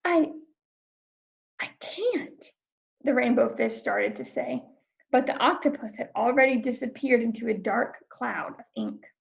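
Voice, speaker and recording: A woman reading a children's picture book aloud, narrating in a clear, expressive storytelling manner. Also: on the high side, normal speed, highs cut off. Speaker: storybook reader.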